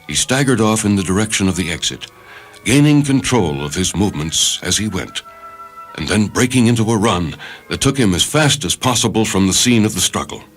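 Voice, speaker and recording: A man's voice: fairly low pitch, expressive, brisk. A man reading a literary short story as narrator, with dramatic scripted delivery. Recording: faint music behind the narration.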